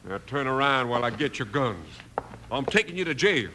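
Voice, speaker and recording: An actor playing a bullying American crooked sheriff and henchman in an old-time radio western, barking gruff threats. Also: medium pitch, big pitch swings, fairly quick, lightly acted. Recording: noisy old radio broadcast recording.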